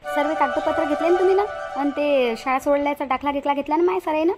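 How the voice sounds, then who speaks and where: A woman plays a bossy but cautious village woman from a moral-story cartoon. Her voice is high and she talks briskly. The rural Vidarbha accent is homely and colloquial, full of questions. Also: loud music behind the voice, natural voice. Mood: chatty. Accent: rural Vidarbha Marathi.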